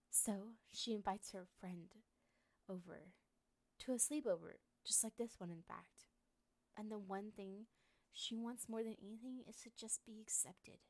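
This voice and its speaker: A woman playing an obsessive, lovesick yandere in an ASMR roleplay, telling her story to her best friend in a dramatic, defensive way that moves between soft hush and insistence. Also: fairly high pitch, no echo. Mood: nervous, pleading.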